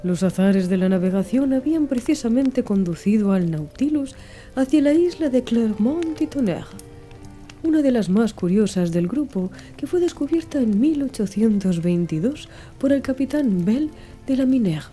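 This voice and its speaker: A woman's voice: a little high, expressive, normal speed, dark tone, faint music behind. A woman reading scripted audiobook narration.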